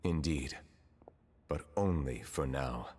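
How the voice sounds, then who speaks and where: An actor playing a solemn, powerful male authority in a video game, speaking formally and with measured restraint. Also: a bass voice, slight background noise. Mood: calm, composed. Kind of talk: dramatic video game voice acting. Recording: loud background music.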